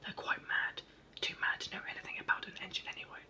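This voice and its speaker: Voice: fast talker, pushed and bright. A reader whispering the part of an impatient male station master in a storybook.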